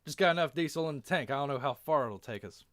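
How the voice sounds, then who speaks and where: A man's voice: fairly high pitch, lively intonation, fast, dry recording, clean studio sound, natural voice. A man voicing a reluctant, grumbling army private in a war story, agitated and hesitant. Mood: matter-of-fact.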